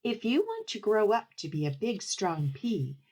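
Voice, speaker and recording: Storybook pea parent, voiced by a woman: firm, coaxing, instructive, lifted pitch with lots of lilt. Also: wildly swooping pitch, moderate pace, a little mellow, breathy edge, exaggerated voice. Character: firm pea parents urging their child to eat.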